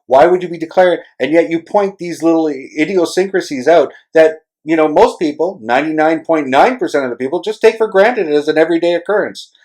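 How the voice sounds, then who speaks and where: A man's voice: fairly high pitch, brisk, few pauses, darkish tone, poor audio. A man hosting a casual interview, talking in a rambling, hesitant way and building up to long questions. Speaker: podcast host interviewing a travel writer.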